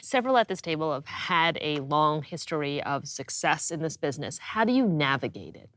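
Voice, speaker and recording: A man's voice: a little high, sing-song, a little fast, a bit bright, no echo. A male roundtable moderator asking brief, attentive questions. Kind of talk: interview questions.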